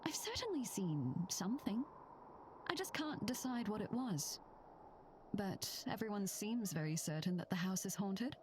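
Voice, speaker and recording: A woman's voice: medium pitch, very animated, measured pace, background noise. A woman playing an uneasy, polite guest at a haunted manor in a mystery video game. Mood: uneasy, puzzled. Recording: clear background ambience with animal calls.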